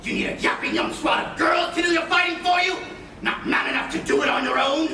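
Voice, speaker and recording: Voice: very high voice, brisk, bright, forceful tone. Sly theatrical pirate villain, distant and echoing. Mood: furious. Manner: mocking, grandiose, sneering delivery. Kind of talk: live stage play acting. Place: indoor stage of a theatre. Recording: echoey theatre, distant mics, noisy room.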